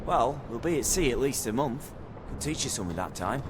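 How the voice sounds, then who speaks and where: An actor playing a chatty, wry petty thief in a video game, with a colloquial British accent. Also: male voice, medium pitch, expressive, a little fast, very noisy, natural voice.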